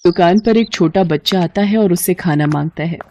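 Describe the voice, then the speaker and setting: A woman's voice: fairly low pitch, moderate pace, mellow, a little hiss or hum. A woman narrating a scripted moral story for children as a storyteller.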